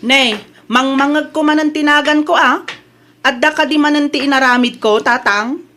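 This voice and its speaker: A woman's voice: high-pitched, steady tone, moderate pace, bright, forceful tone, a little hiss or hum. A woman playing a vain, haughty young daughter in a comedy audio drama, with a Filipino accent and a whiny, exclamatory manner. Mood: indignant.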